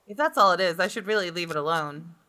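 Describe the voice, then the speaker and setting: Lower-voiced woman narrating a visual novel. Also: quick pace, sharp tone. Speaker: gamer reading a visual novel aloud. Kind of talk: expressive first-person story narration with reactions. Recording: guitar game music behind the voice. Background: game music with guitar.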